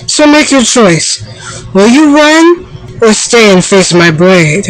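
A woman voicing a proud, stern young samurai warrior woman for a video game, with formal, haughty delivery on an amateur microphone. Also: natural voice. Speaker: amateur voice actor. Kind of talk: video game character voice reel. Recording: low-quality amateur microphone.

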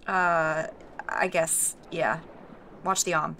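Gruff warrior woman, lowish voice, terse and commanding, fantasy audio drama. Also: level tone, slow, sharp tone, a little hiss or hum, exaggerated voice, low-quality recording. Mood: guarded, grudging. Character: blunt, gruff, foul-mouthed wounded warrior woman. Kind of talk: naturalistic audio drama acting.

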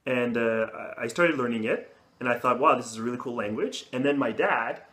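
A man's voice: medium pitch, a little fast, fairly bright tone. Young man, enthusiastic guest. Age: young adult. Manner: friendly, enthusiastic, many fillers. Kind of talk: casual interview chat. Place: indoor living room with couch.

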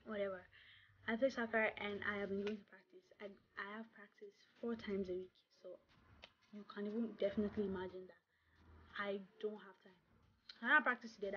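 A young woman from Nigeria with a Nigerian accent, chatting casually to camera as a vlogger, stressing points by repeating words. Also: mid-range voice. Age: young adult. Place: small room indoors. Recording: dull lo-fi sound, clear background noise.

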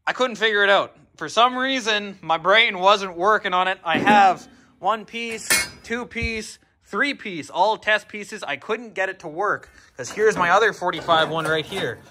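A man's voice: sits high, a little slow, sharp tone. A young man casually walking viewers through his garage build, easygoing and poking fun at his own mistakes, filmed on an action camera. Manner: easygoing, self-deprecating, talks straight to viewers. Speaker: garage builder showing a project. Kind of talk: casual how-to workshop vlog. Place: large indoor workshop garage. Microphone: handheld or body-worn action camera.

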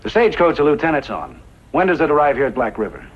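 A man's voice: fairly high pitch, wildly swooping pitch, fast talker, slight background noise. A man acting a bossy, scheming gunrunner gang leader in a 1950s radio western, commanding and calculating. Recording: muffled vintage radio recording.

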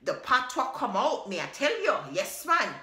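A woman's voice: sits high, moderate pace. A Jamaican woman in her thirties talking casually to her phone camera in a small home kitchen, chatty and laughing often. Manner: chatty, animated, laughs often, repeats "yes, guys". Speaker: vlogger. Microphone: phone camera at arm's length. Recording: echoey kitchen.

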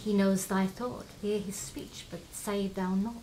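A woman's voice: medium pitch, steady tone, measured pace, dark tone, lightly acted. An eerie witch acted by a woman in a Shakespearean radio play. She declaims in commanding, incantatory lines over faintly noisy ambience. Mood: ominous. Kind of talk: theatrical Shakespearean radio drama acting.

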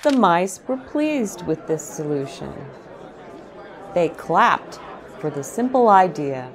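A middle-aged woman hosting a children's storytime, reading aloud in a deliberate way and speaking directly to young listeners. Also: on the low side, sing-song, soft background music, some chatter behind. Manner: deliberate phrasing, addressing child listeners with questions. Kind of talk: slow, animated picture-book read-aloud for young children. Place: small indoor set with bookshelves, seated in an armchair.

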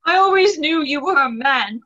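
Resolute young gentlewoman, played by a woman: bright, high, carefully spoken, theatrical, on dull video-call audio. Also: expressive, moderate pace, a little hiss or hum. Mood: brave, shaken. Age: young adult. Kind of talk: stage play reading. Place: small room at home, video call.

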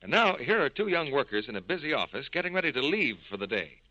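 A male announcer in polished 1940s radio style. His scripted delivery is animated, with a bright, forceful tone and a dry, close sound. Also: quick pace, no room sound, highs cut off. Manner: polished sales pitch.

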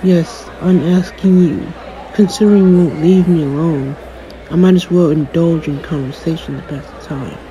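Man acting jaded, mellow-voiced cocky superhero. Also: on the high side, steady tone, normal speed, dull audio, a faint crowd, a little hiss or hum, lightly acted. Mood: aloof, smug. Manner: dry, sarcastic, aloof. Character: jaded, cocky young male superhero, dismissive of his fan. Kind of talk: audio roleplay acting, one-sided conversation.

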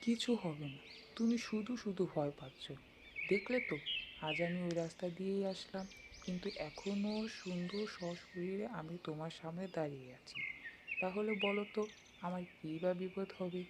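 A woman's voice: fairly low pitch, normal speed, very noisy, lightly acted. A woman playing a stubborn, fearless young grandson in a folk-tale cartoon, insistent and argumentative. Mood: defiant.

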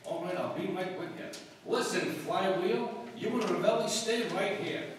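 A man playing a gruff 1930s gang boss, roomy. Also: high voice, moderate pace, slight background noise, close to an everyday voice. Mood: menacing. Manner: gruff tough-guy talk. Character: tough, bossy male gang boss. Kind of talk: live stage recreation of 1930s radio comedy. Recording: echoey room from a far camera.

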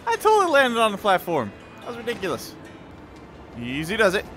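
A man giving excited live gameplay commentary in short, exclamatory bursts, talking to himself as he plays. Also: sits high, fairly quick. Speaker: gamer playing and commenting. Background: game music.

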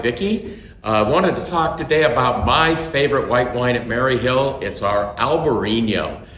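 A man's voice: mid-range voice, a bit bright, slight background noise. A middle-aged man from Washington state, a winery co-owner, speaking in a friendly, upbeat way with some filler sounds. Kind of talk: promotional to-camera talk. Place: tasting room at a wooden bar. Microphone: camera a few feet away. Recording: roomy indoor echo.

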